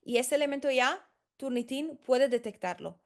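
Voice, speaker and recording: A woman's voice: sits high, lively intonation, moderate pace. A woman who is not a native Spanish speaker, a consultant explaining in a tutorial, hesitant with many fillers and restarts. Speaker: senior professional services consultant.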